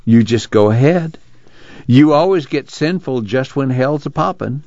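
A man's voice: mid-range voice, expressive, unhurried, darkish tone, no echo, muffled recording, pitched above the performer's own voice. A man voicing a blunt, practical farm family patriarch with dry humor and a folksy rural American accent. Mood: brisk.

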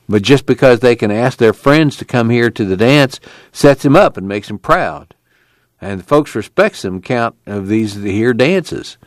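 A man's voice: mid-range voice, dry recording, pitched above the performer's own voice, lightly acted. A man voicing a watchful, friendly young male camp guard with an easygoing, folksy rural drawl.

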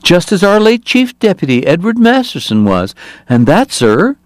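A man voicing a huge, falsely sincere male politician who speaks in a startling high voice with smooth, pompous oratory. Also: sing-song, normal speed, dry recording, studio quality.

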